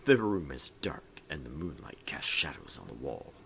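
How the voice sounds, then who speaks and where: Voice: rumbling low, big pitch swings, moderate pace, creaky, a bit bright, a little hiss or hum. A game show host reading visual novel narration aloud in a casual, dramatic storyteller manner with joking asides.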